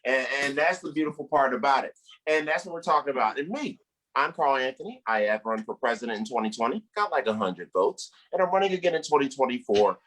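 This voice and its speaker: A man's voice: mid-range voice, animated, fast talker, fairly bright tone, rough recording. A man hosting a stand-up comedy show, bantering with the crowd, hyping up the acts and swearing freely. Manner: profane, hyping, addresses the crowd.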